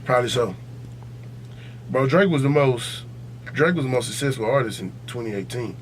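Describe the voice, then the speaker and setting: A man's voice: mid-range voice, animated, normal speed, a little hiss or hum. An American man hosting a casual podcast debate, talking in a slangy, profane, insistent and opinionated way. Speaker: podcast host.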